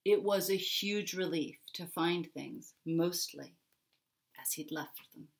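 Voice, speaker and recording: A middle-aged woman reads a children's story aloud at an unhurried pace. Her delivery is expressive and dramatic, with deliberate pauses and emphasis on key words. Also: on the low side, vocal fry. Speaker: picture-book author reading aloud. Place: small furnished room at home, indoors.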